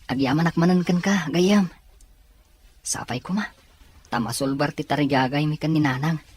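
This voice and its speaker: An actor with a Filipino accent playing a humble, earnest, soft-hearted young student in an audio drama. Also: male voice, on the high side, normal speed, a little hiss or hum, close to an everyday voice. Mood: grateful, hopeful.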